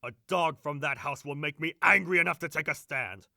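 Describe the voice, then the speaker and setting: A man's voice: a little high, fairly quick, gravelly, pushed and bright, no echo, studio quality, full character voice. An actor playing a brash, boastful young male servant with a crude swagger in an audio drama. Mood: cocky.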